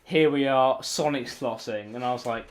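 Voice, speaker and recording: A man's voice: medium pitch, moderate pace. A man talking casually as a podcast co-host, emphatic and sweary, often repeating himself for effect. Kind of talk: casual banter, film discussion.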